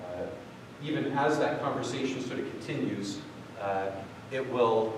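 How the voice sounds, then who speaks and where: A man's voice: medium pitch, measured pace. A man giving a live product demonstration, rambling with many fillers and restarts. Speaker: product demo presenter. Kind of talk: live tech demo, casual. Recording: echoey hall from far off, noisy.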